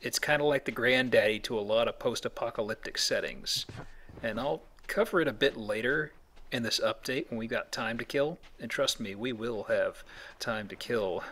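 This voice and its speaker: A man's voice: mid-range voice, normal speed, a bit bright, slight background noise. A man hosting a let's play with casual, explanatory game commentary and a joking streak. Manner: explanatory, jokey, voices paraphrased quotes. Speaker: let's play host and guide.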